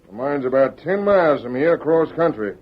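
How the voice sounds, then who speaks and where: A man's voice: on the high side, expressive, fairly quick, crowd noise, natural voice. A man playing a sly, confident outlaw gang boss with an American Western drawl in an old radio drama. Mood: confident, gloating. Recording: muffled old radio recording.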